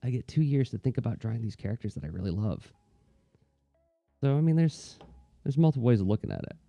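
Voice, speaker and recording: A man's voice: medium pitch, animated, fast talker, gravelly, warm tone, no room sound, muffled recording, soft background music. A man in casual, reflective chat, talking in a thoughtful, rambling, conversational way as an artist hosting a livestream.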